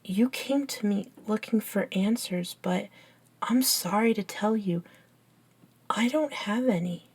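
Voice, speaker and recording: A woman playing an earnest, bookish young best friend in an ASMR audio roleplay, soft-spoken and hesitant, with pauses. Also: medium pitch, slightly rough. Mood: apologetic. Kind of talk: ASMR roleplay acting, one side of a dialogue.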